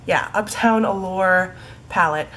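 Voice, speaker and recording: A woman's voice: fairly low pitch, takes it slowly, a bit bright, a little hiss or hum. A young woman with a stuffy, congested voice from a cold, chatting casually about her favorite products, full of likes and ums. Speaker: beauty vlogger. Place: home room in fading daylight.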